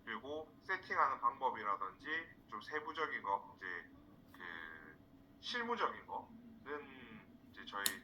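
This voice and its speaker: A man from South Korea teaching a live online class in an informal, halting way, repeating words for emphasis. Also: on the low side, sharp tone, slight background noise, rough recording. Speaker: instructor, marketing trainer. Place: indoors, online screen-share session.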